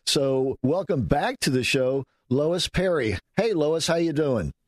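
A man's voice: a little high, lively intonation, measured pace, no room sound, clean studio sound. A man hosting a radio talk show, welcoming a guest in an easygoing, affable way.

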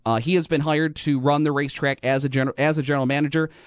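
An American man hosting a motorsport radio show, talking informally and haltingly, often rephrasing mid-sentence. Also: on the high side, flat delivery, no room sound, clean studio sound. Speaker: radio host. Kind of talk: motorsport talk radio monologue.

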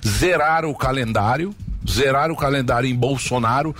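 A man's voice: mid-range voice, brisk, fairly bright tone. A man hosting a casual political panel, talking emphatically and repeating his points.

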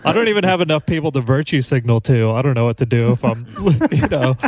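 A man's voice: medium pitch, lively intonation, fast, darkish tone, no echo. A man co-hosting a comedy podcast over a video call, joking and laughing often at his own expense. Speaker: podcast co-host.

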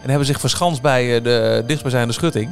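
Fast-talking male podcast host, music underneath. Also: medium pitch, creaky. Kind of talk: casual panel chat about theme park news.

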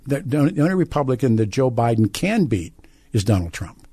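A man's voice: mid-range voice, big pitch swings, normal speed, mellow, breathy edge, no room sound. A man with an American accent hosting a conversational radio call-in show, often repeating and restarting his phrases. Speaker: radio talk show host. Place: radio studio.

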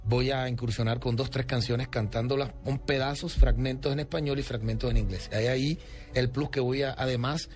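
A man's voice: mid-range voice, fairly even intonation, brisk, darkish tone. A man, a Colombian singer, talks expansively and with enthusiasm about his album in a radio interview. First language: Spanish. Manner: expansive, enthusiastic, lists songs. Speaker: singer guest.

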